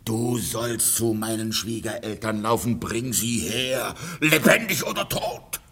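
A man's voice: lowish voice, expressive, a little slow, fairly bright tone, husky, full character voice. A man playing a jealous rich farmer husband in a radio play, blustering, exasperated and given to muttered threats. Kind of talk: German radio play acting.

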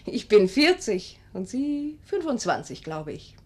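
A woman's voice: fairly high pitch, very animated, measured pace, darkish tone. A woman playing a haughty, spiteful forty-year-old stepdaughter in a 1950s radio play, sneering and biting. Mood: spiteful, accusing.

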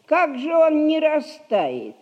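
A woman's voice: sits high, wildly swooping pitch, takes it slowly, darkish tone, exaggerated voice. An actress playing a shrewd, domineering old merchant mother in a classic radio comedy, with a homely, commanding drawl. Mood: probing, drawling. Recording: dull vintage radio-play sound.

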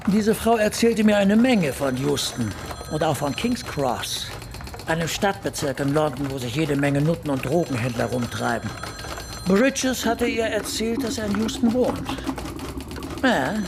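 Radio drama: teasing, breezy female detective, gravelly, lively swings, noisy room behind. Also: deep voice, a little slow, exaggerated voice.

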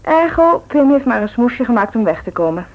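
An actress playing a chatty, cheeky young sister in a radio play, lively and helpful. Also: female voice, on the high side, normal speed, muffled recording. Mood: matter-of-fact.

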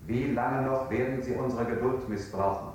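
An actor playing a domineering, impatient questioner in a stylised 1960s German radio play. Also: male voice, mid-range voice, fairly even intonation, normal speed, loud music behind the voice, lightly acted. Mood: pressing. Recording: echoey old radio play recording.

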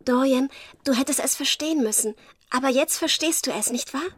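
A young stage actress hopelessly in love, in a literary radio play. Her voice is high and airy, with a soft husk to it. She comes across as fragile and intensely devoted. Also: a little fast, husky, no room sound. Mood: elated.

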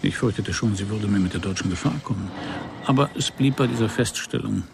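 A male private detective for a radio crime drama. He is observant and unruffled, with a gravelly voice and a dry, wry delivery that stays polite. A faint noise sits under the recording. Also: fairly low pitch, fairly quick. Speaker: narrator and lead actor.

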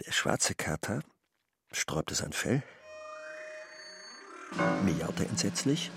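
A man narrating a literary radio drama in a deliberate, suspenseful manner. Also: deep voice, creaky, exaggerated voice.

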